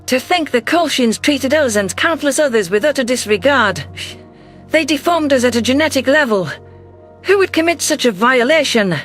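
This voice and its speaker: A man's voice: extremely high pitch, animated, normal speed, fairly bright tone, soft background music. A man voicing an emotional female alien governor, narrating a science fiction audiobook in an earnest, formal, dramatic way. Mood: angry, pained.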